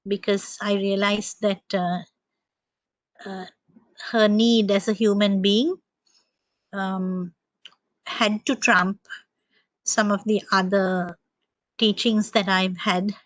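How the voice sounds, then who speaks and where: Slow, hesitant woman on a dry video call. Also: medium pitch, steady tone, no room sound, low-quality recording. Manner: slow, hesitant, many ums, thoughtful. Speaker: panel presenter. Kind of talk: reflective panel answer.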